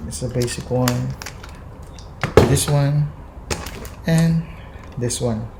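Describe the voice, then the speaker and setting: A man's voice: medium pitch, darkish tone, a little hiss or hum. A Filipino man from the Visayas with a Visayan accent, hesitantly showing his filming gear at home and mixing in Tagalog. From Philippines, Visayas. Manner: hesitant, many ums and restarts, mixing in Tagalog. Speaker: vlogger. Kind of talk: casual gear show-and-tell. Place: indoors at home, kitchen. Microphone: phone camera on a chest mount.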